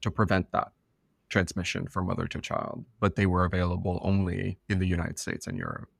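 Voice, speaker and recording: Low flat man's voice, a bit gravelly, thoughtful podcast guest, dry sound. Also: fairly quick, dry recording. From France and elsewhere. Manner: thoughtful, with frequent restarts. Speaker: professor, guest expert. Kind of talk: conversational podcast interview.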